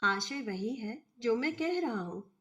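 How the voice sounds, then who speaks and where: Woman reading blunt, slow-spoken cynical male professor. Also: fairly high pitch, animated, pushed and bright, full character voice. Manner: blunt, argumentative, deliberate. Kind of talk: storybook dialogue reading.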